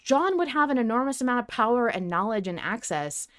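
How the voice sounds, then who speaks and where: A woman's voice: fairly high pitch, animated, a little fast, fairly bright tone, no room sound, studio quality. A woman co-hosting a podcast, chatting casually in an emphatic, opinionated, sweary way. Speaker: podcast co-host. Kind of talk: casual fan discussion chat.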